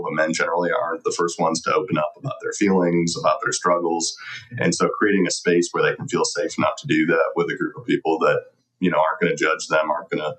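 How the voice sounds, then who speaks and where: A man's voice: deep voice, a bit bright, poor audio. A middle-aged man answering conversationally as an interview guest, earnest and reflective. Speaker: podcast guest, lawyer.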